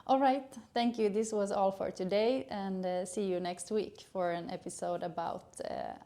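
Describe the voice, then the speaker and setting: A middle-aged woman from Sweden with a Swedish accent, a gynecologist introducing her educational channel in earnest, halting English with many fillers. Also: medium pitch, fairly even intonation, dark tone. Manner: earnest, hesitant with frequent uh fillers.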